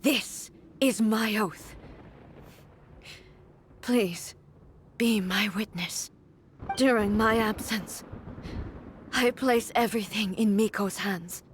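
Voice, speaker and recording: A woman voicing a solemn, resolute goddess ruler in a video game, speaking in a measured, grave and formal way. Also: mid-range voice, a little hiss or hum, natural voice. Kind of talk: video game cutscene voice acting.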